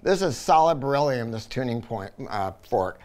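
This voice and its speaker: A man with a mid-pitched voice talks through a technical product review. He explains things casually but emphatically, as if chatting with a co-presenter. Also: lively intonation, normal speed, darkish tone.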